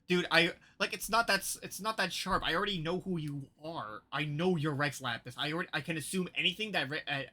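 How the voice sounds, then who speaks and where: A man's voice: fairly high pitch, a little fast, few pauses, pushed and bright. A man streaming a video game with casual, blunt, exasperated commentary, often repeating himself as he rambles.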